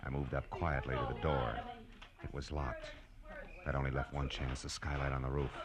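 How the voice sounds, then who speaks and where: An actor playing a world-weary, hard-boiled private eye, wry and terse, in 1940s radio noir style. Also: male voice, a bass voice, level tone, normal speed. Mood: urgent, tense. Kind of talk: 1940s radio noir first-person narration. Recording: vintage radio drama with music behind.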